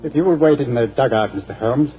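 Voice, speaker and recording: A dutiful young captain, brisk and deferential, who speaks quickly in a slightly raised, husky voice. The sound is thin and lo-fi, like an old radio broadcast. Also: male voice, mid-range voice. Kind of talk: 1940s radio acting.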